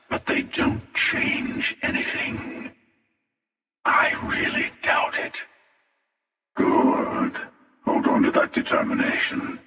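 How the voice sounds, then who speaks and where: A man playing a world-weary, menacing older immortal hunter in a video game, speaking slowly and sardonically in a gravelly, breathy rasp with a reverberant effect. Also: extremely high pitch, bright, forceful tone, heavily acted. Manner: slow, deliberate, sardonic, taunting. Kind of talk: video game character dialogue. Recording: echoey voice with long reverb, distant.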